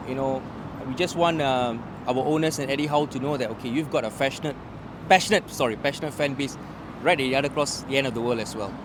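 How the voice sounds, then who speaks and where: A man from Singapore talks football with animated confidence and frequent fillers. His voice is mid-pitched. Traffic noise is clearly heard behind him on the street. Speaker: fan club member.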